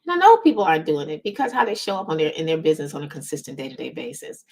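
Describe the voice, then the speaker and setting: A woman's voice: low-pitched, expressive, very fast, darkish tone. A woman speaks as a motivational livestream host. Her delivery is emphatic and folksy, coaching listeners directly with plenty of encouragement. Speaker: host, business strategist and coach.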